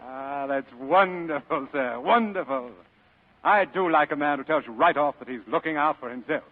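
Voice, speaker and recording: Radio drama villain, male actor: big jovial schemer, florid and courtly, lighter-pitched voice, muffled vintage broadcast. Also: normal speed, close to an everyday voice. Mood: delighted, shrewd. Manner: florid, courtly, chuckling speech. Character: jovial, sly, heavyset male crime boss. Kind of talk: 1940s radio acting.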